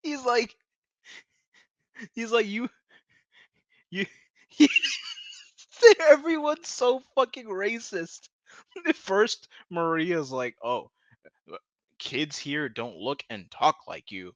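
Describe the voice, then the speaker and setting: A man's voice: high voice, expressive, slow pace, long pauses, no room sound, dull audio. A man giving a casual, sweary recap rant as a video commentator, often mocking what he retells. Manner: sweary, laughs through his words; mockingly acts out quoted dialogue. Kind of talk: casual ranting TV episode recap.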